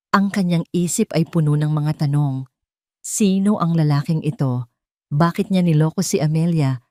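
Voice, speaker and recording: A woman's voice: low voice, dark tone, dry recording, clean studio sound. A woman narrating a scripted, melodramatic love story. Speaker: audio drama narrator.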